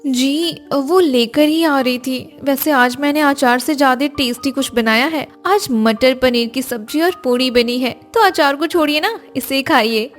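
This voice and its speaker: A woman's voice: high-pitched, a little slow, few pauses, faint music behind. A woman voicing an earnest, polite young housewife in a family cartoon story. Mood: polite, cheerful. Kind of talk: Hindi moral cartoon story acting.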